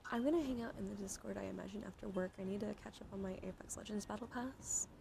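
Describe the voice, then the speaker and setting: A woman's voice: medium pitch, fast, gravelly, background noise. A woman streamer talking casually to her viewers in an informal, slangy, chatty way. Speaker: livestream host, ASMR streamer. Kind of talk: casual livestream chat to viewers.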